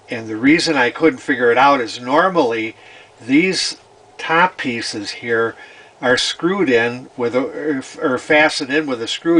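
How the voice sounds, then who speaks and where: A man with a medium-pitched voice explains technical repair work in an informal way. He goes through it methodically, with a halting delivery, often repeating words and phrases as he demonstrates. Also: a bit bright. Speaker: vintage radio repair hobbyist presenting.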